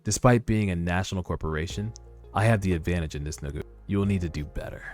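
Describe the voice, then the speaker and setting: A man's voice: low-pitched, quick pace, darkish tone, lightly acted. A man voicing a coolly confident young rookie hunter, reserved and self-assured, in a webtoon read-aloud. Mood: cool, composed. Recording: background music under voice.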